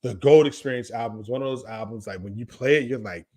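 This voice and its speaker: A man's voice: mid-range voice, quick pace, a little mellow. A man co-hosting a podcast, chatting casually about music in an emphatic way, repeating phrases for stress. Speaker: podcast co-host.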